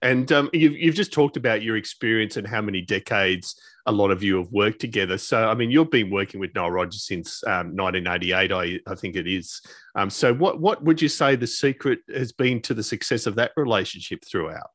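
A man's voice: on the low side, fairly quick, a bit bright, dry recording. Chatty male podcast host, dry close sound. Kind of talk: conversational interview.

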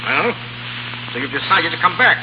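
A man's voice: fairly high pitch, sing-song, moderate pace. A man playing a jealous, domineering, ailing older baron in a 1930s radio drama, speaking in clipped, imperious, sarcastic phrases. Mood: cold, sarcastic. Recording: noisy, hissing, dull 1930s radio recording.

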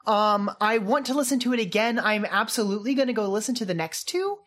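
A woman co-hosting a podcast, chatting casually in an excitable, informal way. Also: on the high side, fast talker. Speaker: podcast co-host. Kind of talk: casual podcast chat about a radio drama.

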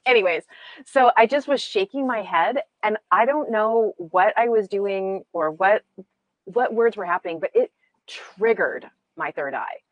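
A woman's voice: mid-range voice, a little slow, no echo, highs cut off. A woman chatting casually about meditation, rambling and giggling as she tells a story.

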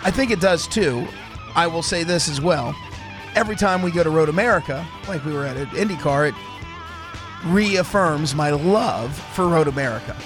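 Hoarse man hosting a radio show. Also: on the high side, lively intonation, normal speed, over music. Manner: rambling, repeats words, restarts often. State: hoarse from laryngitis. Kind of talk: casual motorsport radio chat. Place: college radio studio. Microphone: studio microphone.

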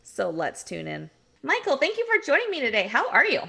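A woman's voice: high voice, huge pitch swings, fairly quick, gravelly, pushed and bright. A woman hosting a podcast, opening the episode and interviewing a guest in an upbeat, eager way. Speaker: podcast host. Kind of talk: podcast interview, scripted intro.

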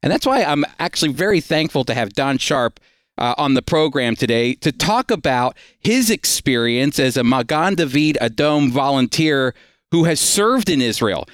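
Smooth-voiced male radio host, dry studio sound. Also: medium pitch, expressive, normal speed, dry recording. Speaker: radio show host and Bible teacher. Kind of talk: scripted radio introduction and interviewing.

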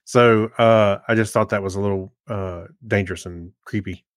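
A man's voice: fairly low pitch, steady tone, normal speed, dry recording, clean studio sound. A middle-aged man co-hosting a podcast, chatting casually in a hesitant, rambling way. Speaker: podcast co-host. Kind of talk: casual chat about movies.

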